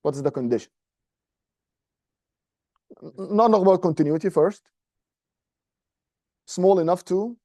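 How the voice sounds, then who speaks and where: A male university lecturer with an Egyptian accent explains math informally to a class. His voice is slightly high for a man yet soft-edged and mellow, recorded dry with little room sound. He often pauses to check that listeners follow. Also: expressive, no echo. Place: medium-sized indoor classroom, standing at a presentation screen.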